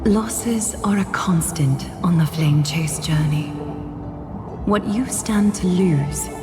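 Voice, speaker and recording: A woman's voice: fairly low pitch, slow. An actress playing a grave, solemn woman giving a fateful warning in a video game. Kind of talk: dramatic video game acting. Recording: music behind the voice.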